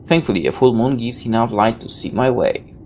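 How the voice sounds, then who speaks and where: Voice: mid-range voice, brisk, a little hiss or hum. A person reading a visual novel aloud with casual asides, now and then stumbling over a line and correcting it.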